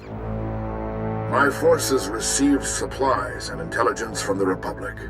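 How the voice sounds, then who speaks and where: An actor playing a weary, honorable older military commander in a video game, gruff and plain-spoken. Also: male voice, on the low side. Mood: solemn. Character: weary, gruff, honorable old army marshal. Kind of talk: dramatic video game voice acting.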